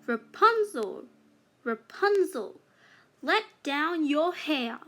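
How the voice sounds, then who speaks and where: Woman putting on an angry, slow-spoken old witch. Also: sits high, sing-song, exaggerated voice. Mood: calling out. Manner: commanding, accusing.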